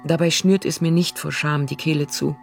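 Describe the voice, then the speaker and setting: A voice-over reader performing prose excerpts in a quiet, reflective, unhurried way. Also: medium pitch, steady tone, creaky, faint music behind. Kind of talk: literary reading of prose excerpts.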